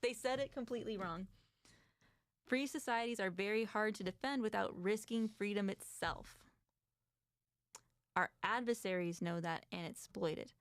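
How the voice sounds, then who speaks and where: Woman co-hosting, gravelly but smooth voice, dry close sound, chatty, reads docs out loud. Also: medium pitch, moderate pace, pauses often, dry recording. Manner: chatty, reading documents aloud with commentary. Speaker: co-host of advocacy show. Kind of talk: casual discussion.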